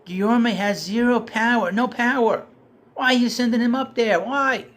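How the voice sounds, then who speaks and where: A man's voice: extremely high pitch, normal speed. A man ranting casually about his team, getting heated and losing his voice. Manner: heated, rising and louder when frustrated. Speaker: sports commentator. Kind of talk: casual rant.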